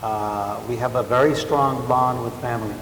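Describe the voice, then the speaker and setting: A man's voice: medium pitch, moderate pace. Joking Cuban-born man in a reverberant hall. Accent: blended Caribbean and Central American Spanish. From Cuba, raised in Miami. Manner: relaxed, joking, many fillers and tag questions. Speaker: panelist. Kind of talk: panel discussion. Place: large event hall. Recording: echoey hall, faint hiss.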